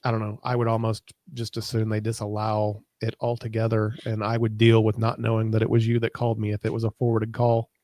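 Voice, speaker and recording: A man's voice: fairly low pitch, monotone, fairly quick, few pauses, dark tone, no room sound. An American man in a casual tech chat as a co-host, informal and wryly grumbling, with folksy wording. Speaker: podcast co-host.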